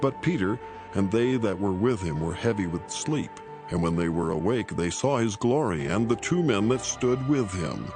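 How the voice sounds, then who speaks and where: Low-voiced man narrating scripture. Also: expressive, music in the background. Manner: measured, formal reading.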